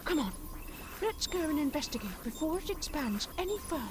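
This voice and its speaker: Very high-pitched, animated heroine played by a woman. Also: fairly quick, background noise. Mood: brisk, concerned. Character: determined, protective woman investigator and mother. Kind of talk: fan audio drama acting.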